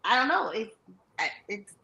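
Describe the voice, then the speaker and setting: A woman from Virginia talks reflectively on a live-stream panel. Her voice is bright and a little high, and she wanders through her thoughts with plenty of fillers. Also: big pitch swings, moderate pace, creaky, poor audio. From Virginia, USA. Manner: reflective, rambling with fillers like "like" and "whatever". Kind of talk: casual live-stream discussion.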